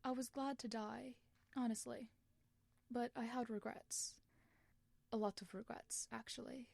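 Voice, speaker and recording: A woman playing a regretful, defensive man in a story, melancholic and pleading, halting and earnest. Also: a little high, steady tone, creaky, darkish tone, no echo.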